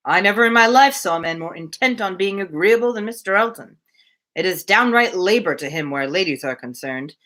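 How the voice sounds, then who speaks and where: A woman reading the part of a grumpy, blunt, sly gentleman with formal, emphatic phrasing in a storybook. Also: medium pitch, lively intonation, a little fast, close to an everyday voice. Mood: sly, frank.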